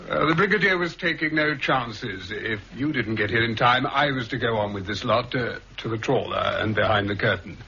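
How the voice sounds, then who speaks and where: A man's voice: mid-range voice, normal speed, creaky, hardly any pauses, bright, forceful tone, slightly rough, heavily acted. A man with a British accent playing a suave, scheming, coolly commanding intelligence official in a 1960s radio drama, with a clipped, condescending manner. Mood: relieved, urbane. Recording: muffled, hissy 1960s radio recording.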